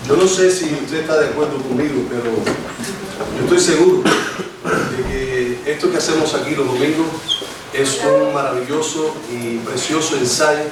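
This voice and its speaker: A man's voice: a little high, moderate pace, very noisy. A man in his forties with a Cuban accent preaching a sermon and praying, talking in a hesitant, informal way with many restarts. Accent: Cuban Spanish. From Cuba. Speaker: lay preacher. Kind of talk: sermon with prayer. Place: church hall. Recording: echoey church hall, distant mic.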